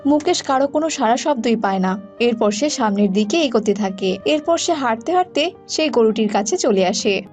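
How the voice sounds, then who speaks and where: High-voiced woman narrating a story. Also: lively intonation, normal speed, a little mellow. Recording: music behind.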